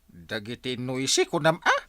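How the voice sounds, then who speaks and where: A man with an Ilocano accent playing an excitable village captain in a radio comedy drama. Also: mid-range voice, unhurried, slight background noise, heavily acted. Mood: surprised, teasing.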